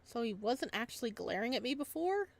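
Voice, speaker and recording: A woman's voice: on the high side, sing-song, fairly quick, no room sound. A woman voicing a timid young male student in a visual novel, nervous, awkward and easily flustered. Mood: startled, nervous.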